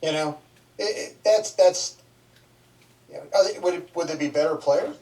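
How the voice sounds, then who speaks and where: Higher-voiced man on distant sports radio. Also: normal speed, warm tone. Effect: played through a radio speaker. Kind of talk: off-the-cuff sports talk. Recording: radio playback picked up from a distance.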